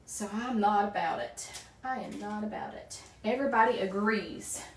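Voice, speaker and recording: A woman's voice: mid-range voice, moderate pace, roomy sound, recorded from a distance, slight background noise, poor audio. A woman in her forties from a small town in Virginia, with a Southern accent, chatting casually with livestream viewers while crafting and greeting them by name. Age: 40s. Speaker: wreath-making livestream host.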